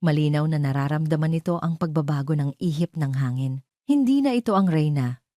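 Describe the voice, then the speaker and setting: A woman's voice: low-pitched, expressive, a little fast, dark tone, dry recording, clean studio sound. A woman storyteller giving a dramatic scripted narration of a romance audio drama.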